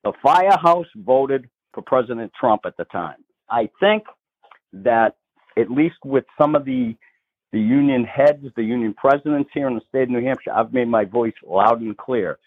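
A man's voice: medium pitch, animated, normal speed. A middle-aged man with a Boston accent, a state politician calling in to a radio interview, blunt and emphatic, often restarting his sentences. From Massachusetts, north of Boston. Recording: tinny phone line.

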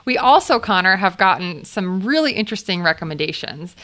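A young woman co-hosting a sports podcast, talking in a calm, friendly, measured way. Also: fairly low pitch. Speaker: podcast co-host. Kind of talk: casual sports podcast chat.

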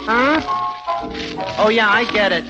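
Nosy chatty barkeep, high voice, folksy, old muffled radio show, loud music. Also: male voice, lively intonation, slow pace. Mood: curious. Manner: folksy, ungrammatical, inquisitive. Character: chatty, unpolished, curious bartender friend. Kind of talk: 1940s radio acting.

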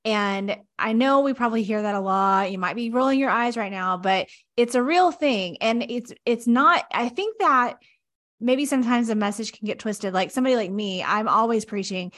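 A woman's voice: medium pitch, fairly bright tone. A woman hosting a podcast, upbeat and agreeable in casual interview talk. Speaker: podcast host.

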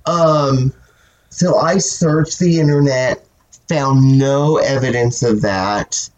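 A man hosting a podcast and chatting casually in a sassy, animated way, swearing often and sounding stuffy from a cold. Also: medium pitch, slow, low-quality recording. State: stuffy with a cold, sore throat. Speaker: podcast host. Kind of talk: casual chat.

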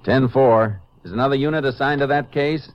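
An actor playing a steady, terse Texas Ranger investigator in a 1950s western radio drama, speaking matter-of-factly. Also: male voice, medium pitch, brisk, a little hiss or hum, lightly acted. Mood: brisk, official. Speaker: lead actor and narrator. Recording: dull vintage 1950s radio recording.

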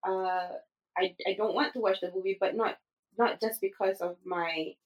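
Animated woman from Singapore, chatty guest. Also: medium pitch, normal speed, highs cut off. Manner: animated, many fillers and restarts, laughs often. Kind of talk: casual interview chat.

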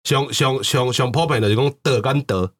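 A man's voice: medium pitch, normal speed. A man with a Taiwanese accent explaining his views in casual discussion, emphatically repeating his points. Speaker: podcast speaker on language.

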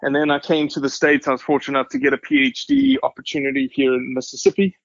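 A man's voice: a little high, very animated, fast talker, vocal fry, no room sound, highs cut off. A man in his forties from South Africa with a South African accent, a podcast guest talking casually and humbly, with many restarts. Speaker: guest, wildlife scientist.

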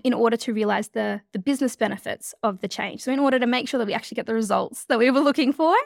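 A woman with an Australian accent, an energetic and bubbly podcast guest who often laughs while chatting casually about her work and life. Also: on the high side, rapid-fire, creaky, dry recording, clean studio sound. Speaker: guest, change leadership expert. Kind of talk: casual interview chat.

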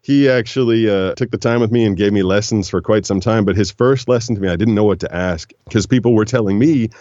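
A man with a very low, deep voice tells stories in an animated, relaxed way, slipping into other voices when he quotes people. The room is dry and close. The sound is a little dull and lo-fi. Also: a little fast, no echo. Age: 40s. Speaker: guest, voiceover actor. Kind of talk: relaxed storytelling conversation.

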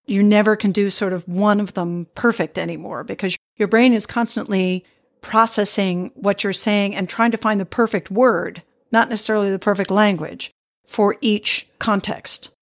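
A woman's voice: medium pitch, steady tone, normal speed. A middle-aged woman hosting a podcast, chatting in a reflective, easygoing way and laughing easily. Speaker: podcast host. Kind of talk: casual interview chat.

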